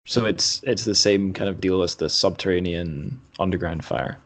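A man's voice: low voice, moderate pace, soft background music. A man hosting a podcast, speaking in conversation or reading aloud. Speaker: podcast host.

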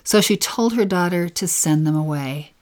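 A woman with a slightly low voice co-teaches a devotional scripture lesson. Her delivery is gentle and earnest, and she takes her time over the phrases she wants to stress. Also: normal speed.

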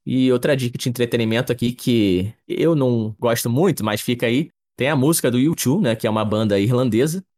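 A young man co-hosting a podcast, explaining sports history in a relaxed, chatty way, often adding little tag questions. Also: mid-range voice, brisk, few pauses. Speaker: podcast co-host. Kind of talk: casual explanatory chat.

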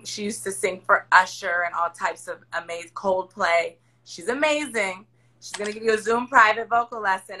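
A woman hosting a podcast, with a raspy voice, talking loudly and emphatically in casual chat. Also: medium pitch, poor audio. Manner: loud, emphatic, encouraging. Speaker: podcast host. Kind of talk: casual chat over a video call.

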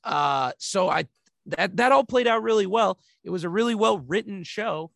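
A man's voice: high-pitched, animated, normal speed, gravelly, a bit bright, no room sound. Dry-sounding man thinking aloud. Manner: thinks aloud, searching for words. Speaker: podcast co-host. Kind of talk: casual chat.

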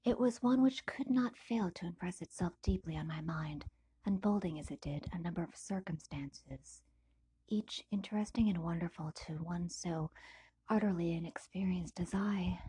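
A woman with a breathy, husky, asthmatic voice, hosting a podcast and reading aloud. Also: fairly low pitch, normal speed, dark tone, low-quality recording. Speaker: podcast host and book reader. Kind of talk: casual podcast intro, then audiobook-style reading of a classic novel.

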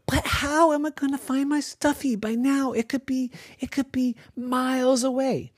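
A woman voicing a bossy, insistent child calling on the phone at midnight, talking loudly and stubbornly. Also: high voice, steady tone, normal speed, slightly rough, dry recording, studio quality, voice pushed up. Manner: loud, pushy, stubborn.

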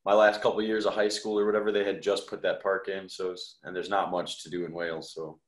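A man's voice: deep voice, flat delivery, fast talker. A man chatting casually as an interview guest, laid-back and self-effacing. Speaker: podcast guest, musician. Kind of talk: casual chat. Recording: echoey room sound.

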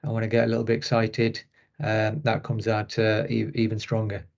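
A man's voice: fairly low pitch, monotone, moderate pace, gravelly, darkish tone, muffled recording. A middle-aged man from Rochdale with a Northern English accent, answering interview questions conversationally. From Rochdale, northern England. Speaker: interview guest, sports medicine consultant.

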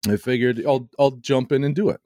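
A man with a slightly scratchy, hoarse voice, chatting casually on a podcast and rambling with frequent restarts. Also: mid-range voice, steady tone, brisk, warm tone, no echo, studio quality. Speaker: podcaster guest. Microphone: home podcast mic.

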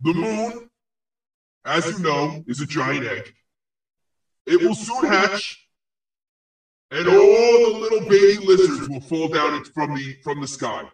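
A man performs a crude male ghost who revels in spite. The voice sits a little high and comes from far off, swimming in heavy echo. He delivers insults and prophecies pompously, with halting pauses, as in a comic audio play. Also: animated. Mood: grandly prophetic. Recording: very echoey, distant-sounding voice.

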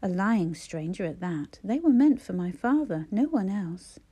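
A woman's voice: mid-range voice, normal speed, dark tone, lightly acted. A woman voicing a stern younger man who speaks in a cold, cutting and condemning way.

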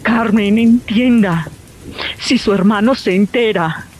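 A woman playing a frightened middle-aged Colombian mother in an audio drama, pleading with hushed urgency. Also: high voice, rough recording. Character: frightened, pleading mother guarding a traumatic secret. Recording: rain noise over the voice.

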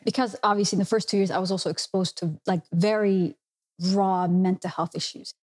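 A woman's voice: medium pitch, fairly even intonation, fairly quick, a little mellow. A woman therapist appearing as a guest, explaining psychological ideas in a thoughtful, patient way during a casual chat. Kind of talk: casual conversation.

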